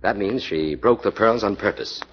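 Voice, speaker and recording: Calm, shrewd detective with a very low voice, voiced by a man, old radio drama, muffled sound. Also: moderate pace, close to an everyday voice. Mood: explaining. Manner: even, confident, matter-of-fact. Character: calm shrewd male detective, self-assured. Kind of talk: 1940s radio acting. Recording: dull old radio broadcast with music.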